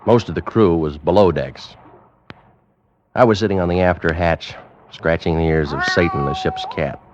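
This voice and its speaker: An actor playing a steady, dutiful ship's officer who narrates in a measured, matter-of-fact way, in the style of 1940s radio drama. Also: male voice, low-pitched, noisy background, lightly acted. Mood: measured, brooding. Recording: dull vintage radio recording with music.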